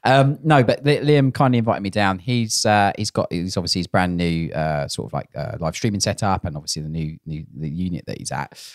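A man's voice: sits low, fairly quick, hardly any pauses, no echo. A man in his thirties with a southern English accent, chatting casually and ramblingly as a podcast co-host on a close mic. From Essex, England. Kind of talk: casual chat. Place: small podcast studio. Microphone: close microphone on boom arm.

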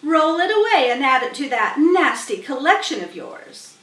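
A woman's voice: high voice, big pitch swings, normal speed, fairly bright tone, voice pushed up. A woman voicing a proud, curt, dismissive mother goose in a children's storybook. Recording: roomy, distant webcam microphone.

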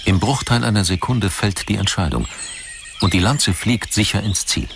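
A man narrating a scripted documentary in a measured, articulate manner. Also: lowish voice, creaky, noisy background.

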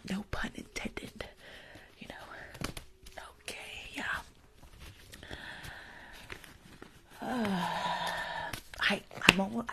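A young woman doing a whispered ASMR roleplay as a rude school nurse, blunt and grumpy, speaking in a breathy whisper close to the mic. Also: mid-range voice. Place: small indoor room.

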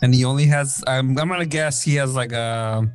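A man's voice: medium pitch, normal speed, smooth, dry recording, soft background music. A man playing a tabletop game online, laid-back and joking in casual banter. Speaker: player.

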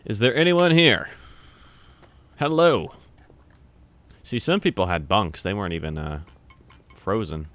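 A man's voice: fairly low pitch, unhurried, long pauses. A young man playing a video game, chatting casually, swearing and yelping when startled, and reading on-screen text aloud. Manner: loud startled yelps and swearing. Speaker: gamer playing on camera. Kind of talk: casual let's-play commentary.

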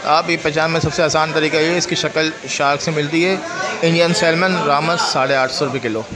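Pakistani guy doing a fish market vlog on his phone, kinda high voice, asking sellers prices over a loud crowd. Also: steady tone, fairly quick, low-quality recording. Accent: Pakistani Urdu. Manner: explains each fish, then calls out to sellers for prices. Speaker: market vlogger. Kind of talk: walk-through market vlog. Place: busy indoor fish market. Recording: loud crowd noise behind.

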